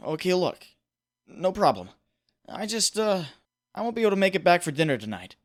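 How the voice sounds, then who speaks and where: A man's voice: high voice, very animated, a little fast. A man playing an anxious, wry young superhero in a comic dub, brooding and self-doubting. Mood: casual, uneasy. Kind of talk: dramatic second-person narration and dialogue, comic dub. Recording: echoey room with faint background noise.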